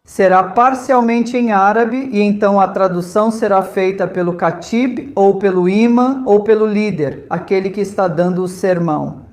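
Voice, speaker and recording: A man's voice: sits high, normal speed, hardly any pauses, a little mellow. Male mosque host, conversational delivery. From India. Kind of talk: informal welcome talk to visitors.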